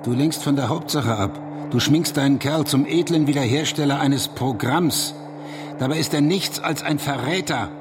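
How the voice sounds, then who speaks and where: A man's voice: a little high, normal speed, background noise, natural voice. An actor playing an indignant conservative man in a German radio drama, accusatory and contemptuous, given to rhetorical questions. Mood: accusing. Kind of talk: German radio drama dialogue, polemical debate.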